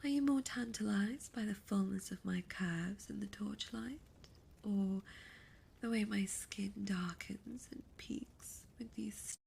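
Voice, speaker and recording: A woman voicing a seductive, imperious demon succubus in an ASMR roleplay, breathy and often whispering, with formal, teasing, menacing diction. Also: medium pitch, slow, a little mellow, a little hiss or hum. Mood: seductive, domineering.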